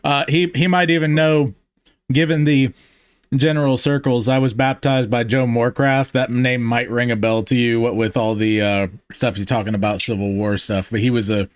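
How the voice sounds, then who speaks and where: Podcast host, rambling storyteller, dry close sound. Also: male voice, medium pitch, fairly even intonation, normal speed, no room sound. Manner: rambling, with restarts and tangents. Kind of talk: casual podcast conversation.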